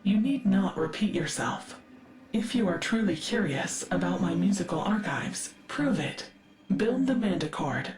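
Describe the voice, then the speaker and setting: A woman voicing a learned, wistful artificial intelligence archivist in a video game, speaking in a measured, precise, formal way. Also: fairly low pitch, lively intonation, a little mellow, reverberant, faint music behind. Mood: aloof. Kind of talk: scripted video game character dialogue.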